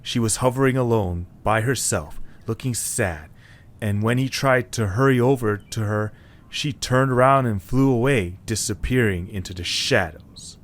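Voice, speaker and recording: A man's voice: mid-range voice, normal speed. A man reading scripted story narration as the narrator of an audio drama.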